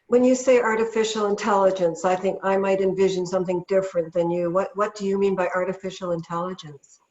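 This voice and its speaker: A woman's voice: medium pitch, fairly even intonation, fast talker, darkish tone. A woman hosting a podcast asks curious, conversational questions in a mid-range voice. The sound is a bit dull and carries a roomy echo. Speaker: podcast host. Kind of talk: casual interview questions.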